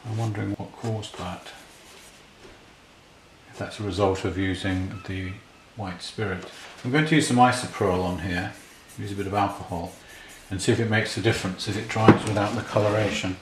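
Male hobbyist repairer, deep-pitched, matter-of-fact, talking through his work in an echoey room. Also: steady tone, moderate pace, background noise. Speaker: repair hobbyist demonstrating a restoration. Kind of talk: casual hands-on tutorial commentary, thinking aloud while working. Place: indoors at a repair workbench. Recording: roomy, clear handling clatter and clicks.

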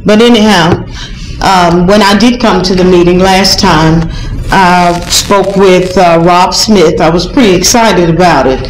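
A woman's voice: on the low side, a little slow, music in the background. A woman who rides the bus, talking in a rambling, folksy way during public comment at a board meeting. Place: large council chamber. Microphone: podium microphone, heard from a far camera. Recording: echoey chamber with background noise.

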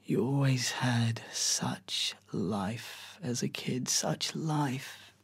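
A man playing a tender older brother reminiscing in a hushed, breathy, intimate monologue. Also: mid-range voice, extremely slow, voice pushed down, exaggerated voice. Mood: tender, wistful. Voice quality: hushed, breathy half-whisper.

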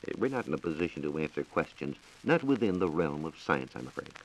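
An American man explaining science in a measured, formal way on a 1940s radio program. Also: extremely low pitch, very animated, slight background noise, rough recording. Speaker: science reporter and radio co-host. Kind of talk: 1940s radio science talk, answering questions. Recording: 1940s radio transcription disc.

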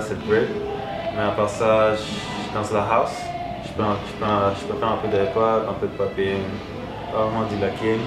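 A man's voice: fairly low pitch, distant mic. A young man, answering interview questions in a dance studio, hesitant with many fillers and restarts. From Montreal. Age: 20s. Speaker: breakdancer. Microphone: camera close to the face. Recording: echoey studio room with loud music.

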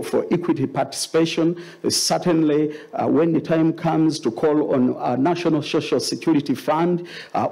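A man in his fifties speaks with a Ugandan accent as a panelist at a conference. His voice sits a little high for a man, soft-toned and mellow, with plenty of creak. He explains hesitantly, often repeating a word before moving on. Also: rough recording. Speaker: company board chairman on a panel. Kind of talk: panel discussion, explanatory answers. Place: conference stage, indoors. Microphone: gooseneck table microphone.

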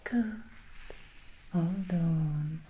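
A woman voicing a seductive female demon hairstylist in an ASMR roleplay, whispering softly close to the mic with slow, soothing reassurances. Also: on the low side, slight background noise. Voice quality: soft whisper. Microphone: close sensitive ASMR mic.

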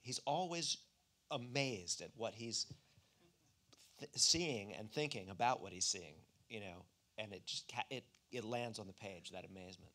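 A man in his fifties talking thoughtfully and haltingly on a stage panel into a handheld microphone. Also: lowish voice, sharp tone. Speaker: author on a panel. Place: museum auditorium stage.

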